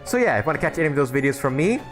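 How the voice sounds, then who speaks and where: A man's voice: fairly high pitch, big pitch swings, racing, faint music behind. A young man giving casual live stream commentary on a game, informal and thinking aloud as he plays.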